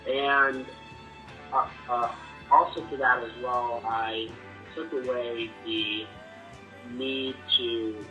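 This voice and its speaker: Young man with a stutter, sharp bright timbre, earnest personal testimony. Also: mid-range voice, level tone, extremely slow, long pauses, faint music behind, poor audio. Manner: stutters, with repeated sounds and restarts. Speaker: person sharing his experience.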